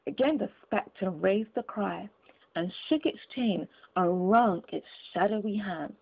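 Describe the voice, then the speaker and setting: A woman's voice: mid-range voice, slow pace, vocal fry, breathy edge. A woman reading a classic story aloud as an audiobook, in dramatic scripted narration. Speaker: audiobook reader. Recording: thin, phone-like lo-fi audio.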